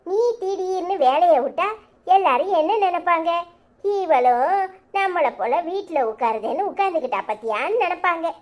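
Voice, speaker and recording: Brief: woman playing a fierce old grandma, loud rapid scolding, high lively voice. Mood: pleading.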